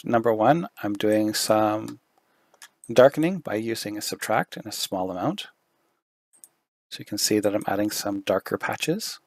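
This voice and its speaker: A man giving a screen-recorded software tutorial, thinking aloud with fillers, his voice going hoarse. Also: lowish voice, dry recording. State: losing his voice, throat clearing.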